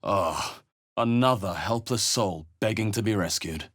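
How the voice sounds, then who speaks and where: A fantasy game hero, cool-headed and suspicious. His voice sits fairly low with a rough, breathy husk. He delivers short remarks in a dry, theatrical way. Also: unhurried, fairly bright tone. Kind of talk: video game voice lines.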